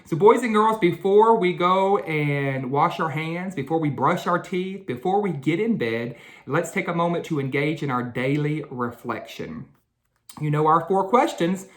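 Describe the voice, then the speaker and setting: A woman's voice: low voice, expressive, measured pace. A woman hosting a children's read-aloud, talking directly to young listeners and asking them questions.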